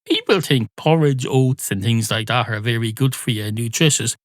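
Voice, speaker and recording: A man hosting a solo comedy podcast, rambling in a deadpan way through absurd digressions. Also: medium pitch, normal speed, no room sound, clean studio sound. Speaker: podcast host. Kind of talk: rambling comic solo monologue.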